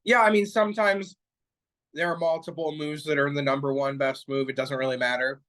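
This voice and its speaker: A man's voice: a little high, steady tone, a little fast, a bit bright. Easygoing man giving short replies. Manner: easygoing, brief affirming replies. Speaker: chess stream co-host. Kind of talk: casual chat.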